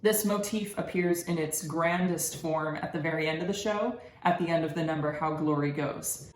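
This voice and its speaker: A woman's voice: deep voice, moderate pace, over loud music. A young adult woman giving a prepared academic presentation as a student, articulate and explanatory. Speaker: student presenting thesis research. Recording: echoey room with background noise.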